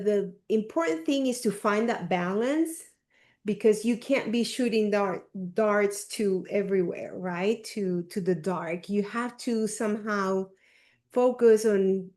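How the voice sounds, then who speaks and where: A woman's voice: medium pitch, a little mellow. A woman with a Spanish accent hosting a small coaching group on a video call, encouraging and repeating her points with many fillers. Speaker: discussion group host.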